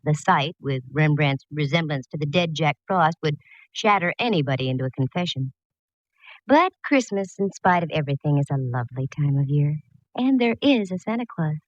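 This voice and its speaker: A woman's voice: sits low, lively intonation, normal speed, no echo. An actress playing a plucky, wry female private eye, narrating in the style of a 1940s radio drama. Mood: wry, playful. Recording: dull, dry vintage radio recording.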